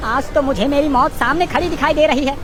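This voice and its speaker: A woman's voice: high voice, brisk, voice pushed up, natural voice. A woman voicing an anxious male merchant in a fretful, pleading manner. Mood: desperate pleading. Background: clear background music.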